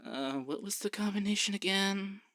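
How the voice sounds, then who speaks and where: Man playing a bright-voiced peppy young femboy barrister. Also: sits high, moderate pace, no room sound, studio quality, pitched above the performer's own voice. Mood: peppy, flustered.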